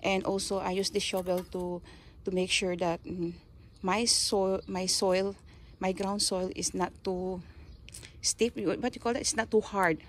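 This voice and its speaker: Slow Filipino woman showing her garden. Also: mid-range voice, level tone, a little hiss or hum. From Philippines. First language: Kapampangan. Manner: slow, halting, searches for words. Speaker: gardening vlogger. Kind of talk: casual show-and-tell. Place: backyard garden outdoors. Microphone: handheld phone, speaker off camera.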